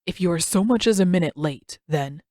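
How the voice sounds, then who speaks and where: A woman acts out a stubborn older man, a strict master in a fantasy audiobook. The voice is lowered and clipped, with grudging authority. The sound is dry and close. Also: lively intonation, brisk, darkish tone, no room sound, natural voice. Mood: stern. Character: stern, stubborn older male waterbending master.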